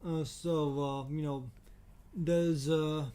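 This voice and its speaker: Halting man with mellow, higher-pitched voice. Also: fairly even intonation. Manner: halting, hesitant, repeats himself. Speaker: podcast co-host. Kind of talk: casual podcast discussion.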